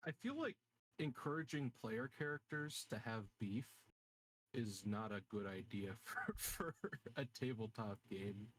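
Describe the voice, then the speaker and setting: A man's voice: fairly low pitch, lively intonation, measured pace, no room sound, poor audio. A man chatting casually with his group as a player in a tabletop game discussion.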